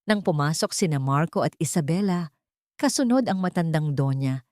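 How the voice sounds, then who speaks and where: Female narrator, low register, dry close sound, scripted dramatic storytelling. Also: expressive, normal speed, a little mellow, dry recording.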